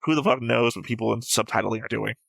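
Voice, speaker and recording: A man's voice: medium pitch, fast talker, vocal fry, a bit bright, no echo. A man chatting casually as a reaction show host, blunt and argumentative, with frequent swearing. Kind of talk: casual chat.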